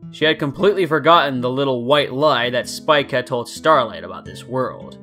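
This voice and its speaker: A man's voice: mid-range voice, expressive, normal speed, pushed and bright, faint music behind. A man narrating fan fiction as a scripted story.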